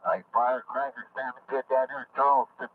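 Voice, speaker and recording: A man's voice: mid-range voice, steady tone, a little fast. A man giving brief, casual replies as an operator over a CB radio transmission. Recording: dull lo-fi CB radio with clear noise.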